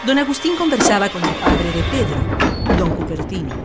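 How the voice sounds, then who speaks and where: A woman's voice: fairly low pitch, sing-song, normal speed, loud music behind the voice. A woman reading scripted, dramatic narration for a radio play.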